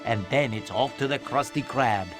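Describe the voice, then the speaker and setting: A man playing a grandiose, dryly amused storybook narrator for a cartoon game, florid and deliberate. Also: medium pitch, natural voice. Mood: whimsical, grand. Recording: music playing behind the voice.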